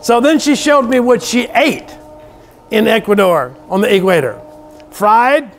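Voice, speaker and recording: Folksy, high-pitched Southern US man lecturing. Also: lively intonation, unhurried, a little hiss or hum. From South Louisiana, USA. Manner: folksy, joking, exclamatory, teasing the students. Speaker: university lecturer. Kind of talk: informal classroom lecture banter. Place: university classroom.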